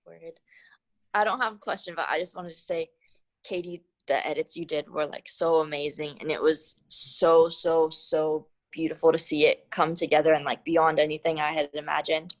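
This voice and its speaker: A woman dancer on a video call, talking softly and a little nervously in casual slang. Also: low-pitched, steady tone, normal speed, poor audio.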